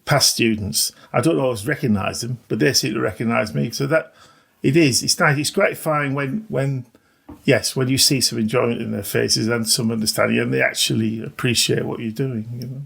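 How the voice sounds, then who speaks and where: Gravelly, husky older man. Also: mid-range voice, normal speed, fairly bright tone. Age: 60s. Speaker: veteran maths teacher, podcast guest. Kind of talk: reflective, informal interview talk.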